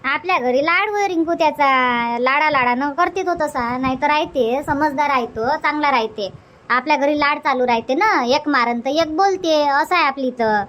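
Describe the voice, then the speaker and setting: A woman's voice: high voice, moderate pace, fairly bright tone. A woman voicing a practical village grandmother in a cartoon, coaxing and advising in a rustic Varhadi Marathi accent. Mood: earnest, advising. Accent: Varhadi Marathi, Vidarbha. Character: village grandmother, practical advice-giving mother. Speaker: cartoon character voice. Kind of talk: homely cartoon comedy dialogue.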